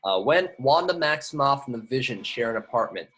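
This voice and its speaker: Mid-pitched man reading stage directions. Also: expressive, a little fast, fairly bright tone, breathy edge. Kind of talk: reading screenplay action lines at a table read.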